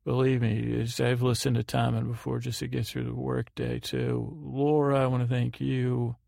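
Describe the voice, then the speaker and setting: A man hosting a sleep podcast, rambling through housekeeping in a halting way and often correcting himself. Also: medium pitch, level tone, vocal fry, darkish tone, dry recording, clean studio sound. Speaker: podcast host.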